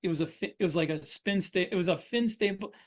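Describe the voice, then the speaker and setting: A man with a fairly high voice talks casually as a podcast host. He explains his craft in an informal, conversational style. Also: fast. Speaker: podcast host and fiction writer. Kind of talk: casual shop talk.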